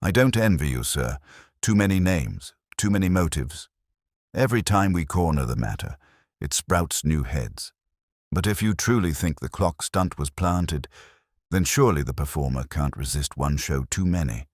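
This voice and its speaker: A man's voice: extremely low pitch, expressive, moderate pace, dry recording, studio quality. A man playing an earnest, anxious police sergeant in a mystery story, deferential and plain-spoken with his superior. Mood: earnest, weary.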